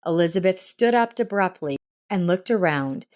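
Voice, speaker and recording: A woman narrates a scripted family story. Her voice sits a little low for a woman, and she reads as a storyteller would. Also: expressive, normal speed, a little mellow.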